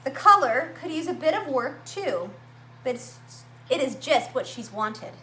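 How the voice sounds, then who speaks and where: A woman reading a picture book aloud to young children, with expressive, dramatic emphasis and drawn-out words. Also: sits high. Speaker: children's story-time reader at a library. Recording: roomy echo, faint background noise.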